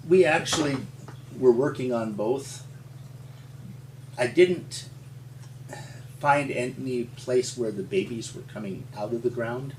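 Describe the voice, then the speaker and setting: An older man from rural Montana with an American accent, telling his story in an interview in a halting, folksy, explanatory way. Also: mid-range voice. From rural Montana, United States. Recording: roomy, distant mic with faint hum.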